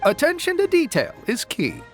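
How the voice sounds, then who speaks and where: Brief: excitable little forest creature, fussy and hesitant, swooping pitch, anime game, faint music behind. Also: normal speed. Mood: sheepish, fussy.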